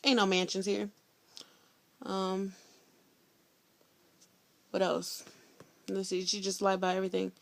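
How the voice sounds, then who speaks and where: Sassy teenage girl with vocal fry. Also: mid-range voice, level tone, a little slow, pauses often. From Indiana, USA. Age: teens. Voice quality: loud voice. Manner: blunt, sassy, chatty with slang. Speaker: vlogger. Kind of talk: casual storytelling vlog.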